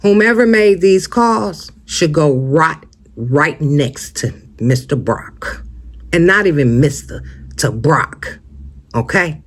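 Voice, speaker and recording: An American vlogger giving casual, opinionated commentary straight to viewers, emphatic and conversational, often posing rhetorical questions. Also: mid-range voice. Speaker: host of a true-crime commentary channel.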